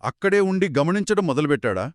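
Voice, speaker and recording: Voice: mid-range voice, very animated, fast talker, dry recording, clean studio sound. A curious, engaged co-host in a conversational story dialogue, prompting the storyteller with questions and short reactions.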